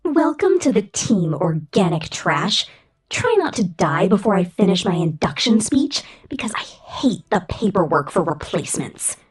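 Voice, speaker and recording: A woman's voice: fairly low pitch, very animated, a little slow, breathy edge, heavily acted. A woman voicing a cruel, condescending female robot supervisor in a menacing, theatrical ASMR roleplay that slips between speech and whispers. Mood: menacing, commanding. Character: cruel, haughty female disassembly robot acting as corporate supervisor. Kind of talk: ASMR roleplay, scripted villain monologue.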